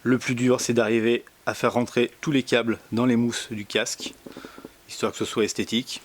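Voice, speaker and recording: A man giving a casual product demonstration indoors, speaking in a hesitant, halting way with many pauses. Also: mid-range voice, flat delivery, slight background noise. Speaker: product reviewer.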